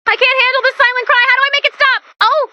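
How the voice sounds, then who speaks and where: A woman voicing a perky, anxious, chatty young female robot helper in a video game, girlish and excitable, rambling theatrically. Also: extremely high pitch, fast talker, no room sound, dull audio, heavily acted. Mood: excitable, panicky. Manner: excitable, rambling, theatrical, swinging into hushed asides. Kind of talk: animated video game character voice lines.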